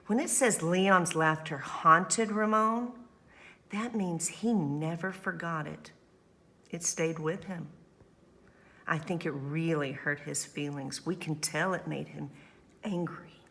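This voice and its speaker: A woman teacher reading a storybook aloud to children, expressive, with simple explanatory asides. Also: low voice, slow pace.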